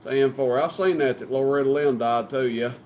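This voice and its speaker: A man's voice: medium pitch, fairly even intonation, moderate pace, a little mellow, a little hiss or hum. A man with a Southern US accent chatting casually as a CB radio operator, folksy and joking. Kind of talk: casual banter over CB radio.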